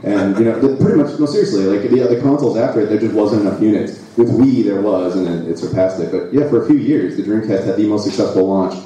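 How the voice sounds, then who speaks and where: A man's voice: on the low side, fast, few pauses, warm tone, lo-fi sound. American man, echoey hall panel. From United States. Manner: chatty, jokey, rambling with restarts. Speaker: panel guest, YouTuber. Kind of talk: casual panel chat. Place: live expo stage, large hall. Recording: echoey hall, distant mic.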